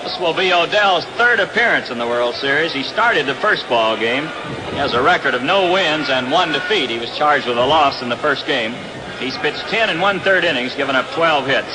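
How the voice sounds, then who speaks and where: A man's voice: mid-range voice, animated, moderate pace, over music. A man with an American accent calls live baseball play-by-play on a noisy 1960s radio broadcast from an outdoor ballpark. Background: loud ambient ballpark noise.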